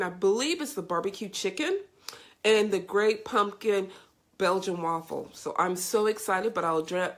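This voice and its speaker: A woman hosting a casual live stream, upbeat and effusive, greeting viewers by name, with a congested, scratchy voice from a cold. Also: medium pitch. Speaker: host of a live video show.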